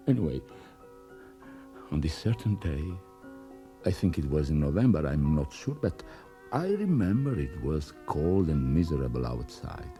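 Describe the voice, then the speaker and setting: A shabby, proud old former tradesman in a radio play. His voice is very deep and weary, moving slowly and haltingly, yet it can swing widely in pitch. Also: vocal fry, mellow, full character voice. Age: older. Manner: halting, pleading, with sudden outbursts. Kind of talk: radio drama acting.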